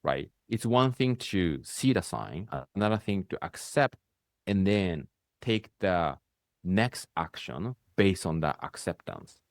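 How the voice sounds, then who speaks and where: A man with a low voice and a Japanese accent teaches in an easy, reflective way. His voice often lifts in short bursts and then settles. The sound is dry and close, with little room around it. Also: expressive, takes it slowly, dry recording. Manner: speaks in rising bursts, often ends with "right?". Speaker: spiritual coach hosting a conversation.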